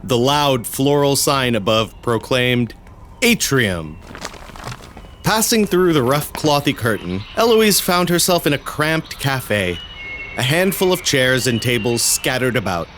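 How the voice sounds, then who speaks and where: Male narrator for scripted fiction: mid-pitched, very varied intonation, faint ambience behind the voice. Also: very animated, measured pace, a bit bright, slight background noise.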